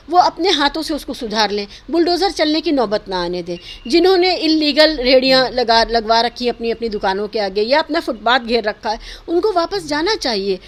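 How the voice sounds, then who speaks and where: A woman giving earnest, emphatic opinions in an interview, arguing her points and mixing in English words. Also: high-pitched. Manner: emphatic, argumentative, mixes in English words and phrases. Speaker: interviewee giving social and political commentary.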